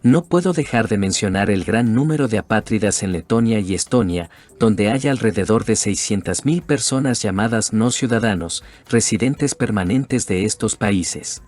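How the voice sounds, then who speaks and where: A man reading a scripted documentary narration in a measured way. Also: fairly low pitch, expressive, a little mellow.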